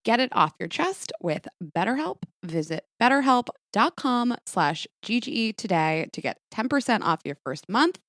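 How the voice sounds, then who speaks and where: A woman's voice: fairly high pitch, big pitch swings, normal speed, hardly any pauses, a bit bright, no room sound, clean studio sound. A woman reading a scripted podcast advertisement. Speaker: ad reader.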